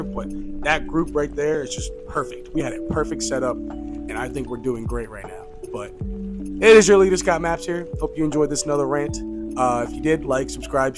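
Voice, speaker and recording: A young man in his twenties delivers a casual, rambling rant to camera, with "like" in nearly every sentence. He gets loud and exasperated when worked up. Music plays clearly behind him. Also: on the high side. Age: 20s. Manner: rambling, filler-heavy, says "like" constantly. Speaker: youth coach and vlogger.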